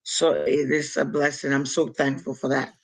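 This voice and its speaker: A woman's voice: a bass voice, level tone, normal speed, husky. A woman from Cameroon with a Cameroonian accent, a guest on a podcast, talking earnestly and reflectively with small hesitations. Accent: Cameroonian English. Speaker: podcast guest, nurse and author. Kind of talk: casual conversational interview.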